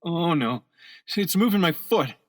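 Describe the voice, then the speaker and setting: Male actor frightened captive agent, drugged, halting, alarmed, lively pitch swings, radio drama. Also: no room sound, studio quality. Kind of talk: radio play acting.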